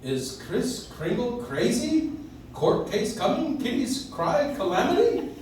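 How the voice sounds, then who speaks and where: An actor playing a jolly, gently bemused elderly man who believes he is Santa Claus, in a stage radio play. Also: very high-pitched, very animated, takes it slowly, full character voice. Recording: echoey, distant, noisy audience-member recording.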